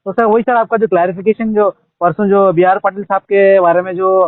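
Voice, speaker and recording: A man's voice: high-pitched, flat delivery, a little fast, no echo. A man, interviewing politely and deferentially as a news reporter over the telephone. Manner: polite, deferential, often murmurs agreement. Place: telephone call. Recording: tinny, narrow-band phone call.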